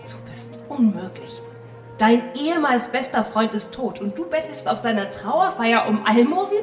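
A woman's voice: fairly high pitch, lively intonation, moderate pace, close to an everyday voice. Woman acting stern, exasperated woman, roomy and distant. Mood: scornful, accusing. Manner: sharp-tongued, scolding. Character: stern, exasperated blonde woman confronting an old acquaintance. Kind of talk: audio drama dialogue. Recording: roomy, distant from the mic, faint music.

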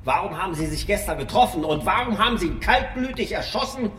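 An actor playing a stern, impatient police commissioner who interrogates in a curt, accusatory and threatening way in an audio drama. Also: male voice, high-pitched, expressive, quick pace, fairly bright tone. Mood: accusing. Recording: echoey room, faint background noise. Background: faint room ambience.

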